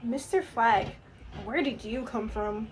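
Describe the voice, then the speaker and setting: A woman's voice: on the high side, slow, music in the background, rough recording. A woman playing an easygoing radio station worker in a radio play. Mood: casual.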